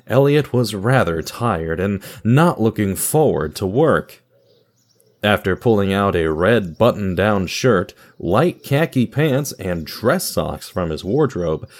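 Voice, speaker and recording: A man narrating a scripted horror story in a measured, dramatic radio theatre style. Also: on the low side, darkish tone. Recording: music under the voice. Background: music.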